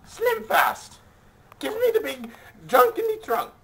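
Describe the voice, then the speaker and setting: A man's voice: very high-pitched, big pitch swings, takes it slowly, creaky, sharp tone, a little hiss or hum, voice pushed up, exaggerated voice. A man voicing a sly, crude wolf pretending to be a sweet old granny in a puppet comedy. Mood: sly, fake sweetness. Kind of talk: improvised puppet comedy skit.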